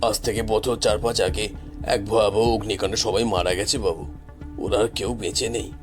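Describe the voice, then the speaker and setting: A man's voice: lowish voice, steady tone, normal speed, over music, pitched below the performer's own voice, close to an everyday voice. A man voicing a respectful office guard in an audio story, grave and insistent in manner.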